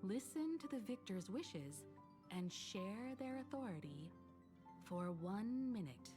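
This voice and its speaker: An actress voicing a composed, knowledgeable woman mentor in a story game, speaking in measured, solemn exposition. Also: mid-range voice, big pitch swings. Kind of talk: scripted game story dialogue. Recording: loud background music. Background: game music.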